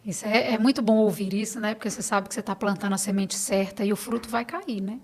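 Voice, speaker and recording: A woman's voice: medium pitch, steady tone, fast talker. A middle-aged Brazilian woman talking casually as a podcast guest, telling stories and quoting conversations as she goes.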